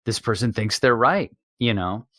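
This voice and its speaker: Co-host: man, medium pitch, casual conversational delivery. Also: normal speed, fairly bright tone, no echo, studio quality. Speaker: podcast co-host. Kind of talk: casual chat.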